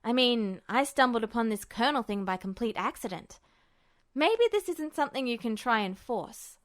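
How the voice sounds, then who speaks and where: Woman doing a brash hyper teen boy for an audiobook, high voice, pushy and blurting, super emphatic. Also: lively intonation, normal speed, natural voice. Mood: upbeat. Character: excited, brash, impatient teenage boy with boundless energy. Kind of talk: audiobook-style character dialogue.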